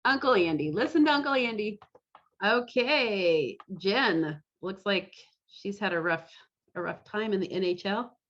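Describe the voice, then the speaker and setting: Woman hosting, lively swinging pitch, unhurried. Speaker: host of an online costume parade. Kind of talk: casual live event hosting. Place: video call. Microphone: computer mic on a video call. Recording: slightly dull video-call audio.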